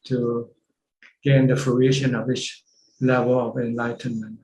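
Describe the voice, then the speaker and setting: A man's voice: medium pitch, level tone, unhurried, darkish tone, a little hiss or hum. A man, a Buddhist monk with a Thai accent, patiently explaining Buddhist practice over a video call, often repeating words as he goes. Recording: echoey video call audio.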